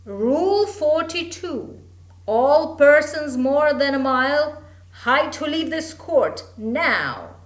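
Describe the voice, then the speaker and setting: Woman narrator voicing a pompous storybook king: grave, loud, emphatic; roomy echo. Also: sits high, big pitch swings, slow, full character voice. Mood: proclaiming.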